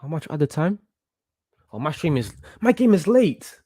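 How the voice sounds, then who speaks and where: A young man from Portugal, about eighteen, hosting a casual football watchalong stream in an excitable, reactive way and often repeating himself. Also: a little high, normal speed, breathy edge, no room sound, studio quality. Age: young adult, about 18. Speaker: livestream host.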